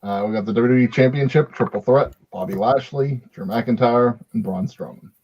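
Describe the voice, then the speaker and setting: A man's voice: mid-range voice, moderate pace, darkish tone, muffled recording, slight background noise. A man hosting a podcast in a casual chat, introducing topics and moving the discussion along.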